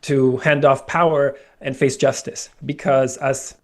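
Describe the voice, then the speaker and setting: Venezuelan-born man, halting interview answers. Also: mid-range voice, flat delivery. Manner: many fillers and restarts, earnest. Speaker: guest, crypto company co-founder. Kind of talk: casual interview talk.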